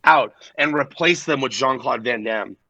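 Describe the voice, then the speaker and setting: Young male DJ guest, gravelly, dry close sound, rambling and profane. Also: mid-range voice, pushed and bright, no room sound. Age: 20s. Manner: rambling, profane storytelling with quick impressions. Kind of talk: casual podcast chat.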